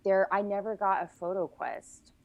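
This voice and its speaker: A woman's voice: medium pitch, fairly even intonation, moderate pace, no echo, phone-quality sound. A woman guest on a podcast, casually chatting and recounting events in long, detailed runs with frequent self-corrections.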